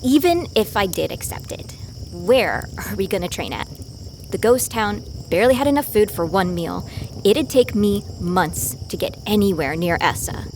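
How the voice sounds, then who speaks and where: A woman's voice: mid-range voice, lively intonation, normal speed, darkish tone, a little hiss or hum, close to an everyday voice. A woman playing a self-doubting young fighter who narrates her own story in an audio drama. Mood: doubtful.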